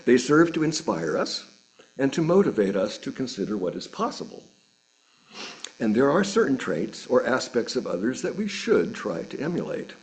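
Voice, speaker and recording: A man, middle-aged or older, reading a sermon aloud from a script with measured, deliberate phrasing and rhetorical pauses. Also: medium pitch, sing-song, darkish tone, low-quality recording. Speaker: reader of a sermon at a church service.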